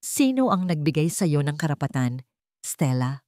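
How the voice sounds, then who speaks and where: A woman's voice: low voice, very animated, moderate pace, no echo, clean studio sound. Woman playing an angry, commanding rich businessman. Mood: furious. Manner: forceful, accusing, commanding. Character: angry wealthy male businessman, protective father.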